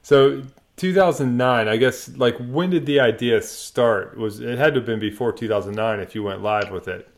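A man's voice: medium pitch, moderate pace, few pauses. A man hosting a podcast, asking casual questions that ramble and correct themselves as he goes. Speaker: podcast host.

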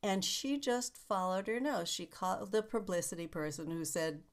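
A woman in a casual conversation, telling stories with lively acted-out quotes. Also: mid-range voice, normal speed.

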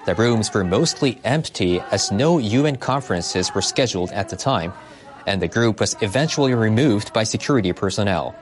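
A man delivering scripted news narration as a reporter. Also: lowish voice.

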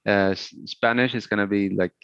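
A middle-aged man from Sweden, a native Swedish speaker, talking haltingly and thoughtfully as a musician in a casual interview. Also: fairly low pitch, fairly even intonation, creaky, a bit bright, clean tone, dry recording, dull audio. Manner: hesitant, halting, thoughtful, searching for words.

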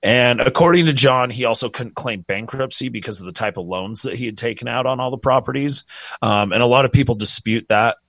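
A man's voice: medium pitch, fairly quick, dry recording. Casual man telling a story. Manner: relaxed, rambling, swears freely. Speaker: podcast co-host telling a true crime story.

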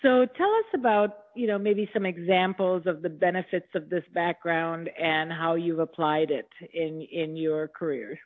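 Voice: mid-range voice, moderate pace, hardly any pauses. An interviewer asking questions and giving frequent encouraging affirmations. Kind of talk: interview conversation. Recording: phone-like narrow band.

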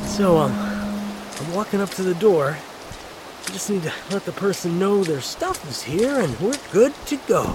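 Audio drama casting: anxious young delivery guy, high voice, stammering, very animated pitch, noisy ambience behind. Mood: casual, impressed. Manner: hesitant, stammering, jittery. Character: nervous, good-hearted delivery guy alone at a spooky mansion. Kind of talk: naturalistic audio drama acting.

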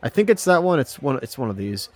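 A man's voice: mid-range voice, very animated, quick pace, darkish tone. A man co-hosting a casual music discussion podcast, ranting with strong opinions and mild stand-in swear words. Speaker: podcast co-host.

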